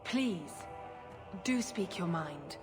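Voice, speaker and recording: An actress playing a zealous, scheming religious sister in a video game, poised, formal and condescending. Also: female voice, fairly low pitch, expressive, very slow. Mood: haughty. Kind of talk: video game cutscene acting. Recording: long hall reverb, music behind.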